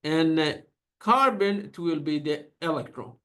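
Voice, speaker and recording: Persian-native male lecturer, talkative and filler-heavy, slightly higher pitch. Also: normal speed, a bit bright. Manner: chatty, many fillers and restarts; accented English with small grammar slips. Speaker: chemistry lecturer. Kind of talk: university lecture.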